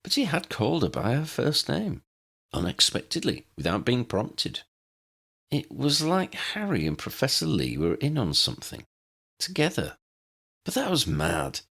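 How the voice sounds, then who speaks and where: A man narrating a story from a script in an expressive, dramatic storytelling manner. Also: medium pitch, unhurried, gravelly, slightly rough. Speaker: audiobook narrator reading fan fiction.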